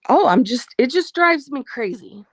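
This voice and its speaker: A woman hosting a casual livestream, reading out viewer comments and speaking frankly about her life, slightly hoarse as she loses her voice. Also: high voice, expressive, normal speed, no room sound. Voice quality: frank, blunt, swears freely. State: losing her voice, a bit hoarse. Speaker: livestream host.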